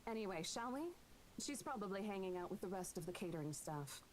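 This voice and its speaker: A woman voicing a friendly young woman who speaks with warmth and concern. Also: medium pitch, animated, fairly quick, slight background noise, close to an everyday voice, poor audio. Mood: caring.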